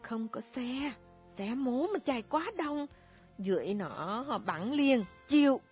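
A woman reading a story voices a weary soldier who flatly refuses to help. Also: on the high side, takes it slowly, husky, faint music behind, heavily acted. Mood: resigned. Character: weary Vietnamese soldier, resigned. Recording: dull lo-fi recording.

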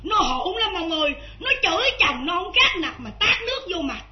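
A woman's voice: very high voice, very animated, a little fast. An actress playing a spiteful, bullying older mother-in-law in a melodrama, with a southern Vietnamese accent and a scolding, wailing manner. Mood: aggrieved, self-justifying. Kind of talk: Vietnamese stage melodrama acting. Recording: dull lo-fi old drama recording.

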